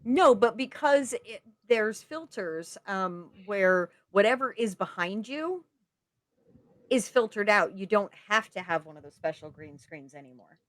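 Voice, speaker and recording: A woman hosting a casual livestream chat, talking quickly while sorting out tech settings. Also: medium pitch, animated, fairly bright tone, dry recording. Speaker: stream host.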